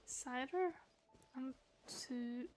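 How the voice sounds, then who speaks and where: A woman's voice: sits high, takes it slowly, darkish tone. A woman chatting casually as she streams a game, murmuring asides to herself and those around her. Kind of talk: casual gameplay chat.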